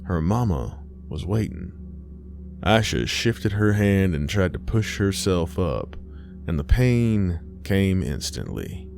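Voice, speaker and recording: A man reading a horror story as scripted, dramatic narration, measured and suspenseful, with deliberate pauses. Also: low voice, sing-song, creaky, a little mellow, faint music behind.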